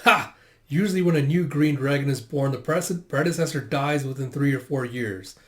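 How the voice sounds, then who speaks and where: A man's voice: mid-range voice, normal speed, a bit bright, close to an everyday voice. A man voicing a bitter, resentful figure in a comic story, sounding exasperated and scornful. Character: bitter, resentful older predecessor of a young boy.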